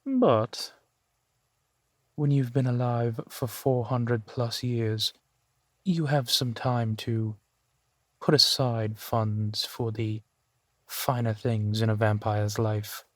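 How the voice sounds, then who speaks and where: A man's voice: mid-range voice, dry recording, studio quality, lightly acted. A man voicing a suave, genteel vampire centuries old, speaking unhurriedly and formally to the listener in an intimate audio roleplay. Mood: reassuring, wry. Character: suave male vampire, four centuries old, genteel, playfully amused host.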